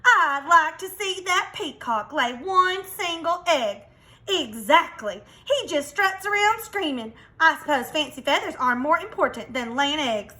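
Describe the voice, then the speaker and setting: A woman acts out a vain storybook hen, gossipy and easily put out. The voice is high and bright, with a fussy, theatrical, exclamatory delivery. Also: animated, a little slow, heavily acted. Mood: indignant, grumbling. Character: indignant, vain female farm hens, gossipy and showy.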